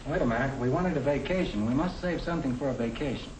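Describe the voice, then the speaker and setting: An actor playing a practical young American husband, plain-spoken and reasoning, in the style of a 1950 educational film. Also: male voice, medium pitch, quick pace, natural voice. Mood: persuading. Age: young adult. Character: young professional husband, practical, matter-of-fact, budget-minded. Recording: echoey, noisy 1950 film soundtrack.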